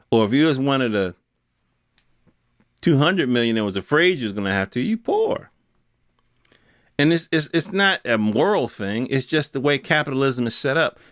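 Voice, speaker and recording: Animated American man ranting casually. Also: medium pitch, no echo, studio quality. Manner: colloquial, rhetorical questions, mimics imagined speakers. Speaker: podcast host. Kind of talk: casual opinion monologue, ranting.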